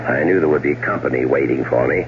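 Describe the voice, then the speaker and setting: An actor playing a cold, scheming older brother in a 1970s radio drama, measured, sly and theatrical. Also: male voice, a bass voice. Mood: smug, calculating. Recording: muffled, noisy 1970s radio recording.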